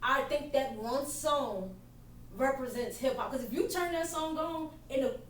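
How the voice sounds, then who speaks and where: A woman hosting a podcast, chatting in an excited, animated way. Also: sits high, a little slow, a little hiss or hum. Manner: excited, animated casual chat with laughs. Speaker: podcast host. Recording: roomy echo from far off, faint ambience.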